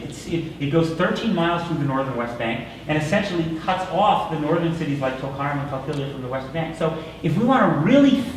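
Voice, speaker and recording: A man's voice: a little high, slight background noise. Man answering questions in echoey hall. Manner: thoughtful, measured, with fillers and restarts. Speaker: guest speaker taking audience questions. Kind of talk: lecture Q&A answers. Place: university lecture room. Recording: echoey room, voice from far off.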